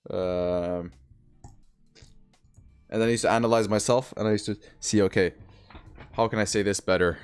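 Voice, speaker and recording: A man's voice: on the low side, fairly even intonation, moderate pace, long pauses, clean tone, soft background music. A young man from Sweden, with roots in Eastern Europe, who learned to speak with an American accent. He hosts a trading livestream, chatting casually with viewers, using slang and repeating his phrases. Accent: learned American English. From Sweden, originally Eastern Europe. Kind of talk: casual live-stream chat reading comments.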